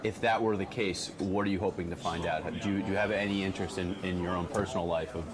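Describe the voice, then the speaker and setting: A man conducting an unscripted radio interview, putting measured, probing questions. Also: sits low, steady tone, a crowd in the background.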